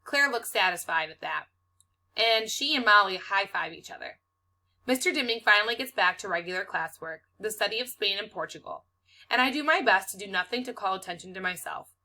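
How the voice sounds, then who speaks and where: Bright-voiced woman reading a story aloud. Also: medium pitch, expressive, moderate pace. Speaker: teacher reading aloud to students. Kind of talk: expressive read-aloud of a children's novel.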